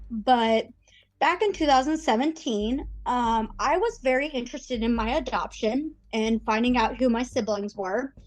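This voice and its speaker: Chatty woman in her thirties. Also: fairly high pitch. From Russia. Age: 30s. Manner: chatty, lots of ums and quoted asides. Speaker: guest. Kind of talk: personal storytelling.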